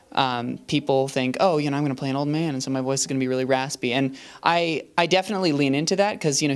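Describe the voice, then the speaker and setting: A man's voice: medium pitch, clear, resonant tone. A young man, a student actor and assistant director, answering interview questions thoughtfully with many fillers and restarts.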